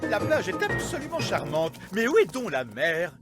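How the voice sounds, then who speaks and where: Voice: medium pitch, wildly swooping pitch, normal speed, over music. An actor voicing a genial, grumbling, oblivious fairy king in a French cartoon dub, regal and blustering. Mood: puzzled.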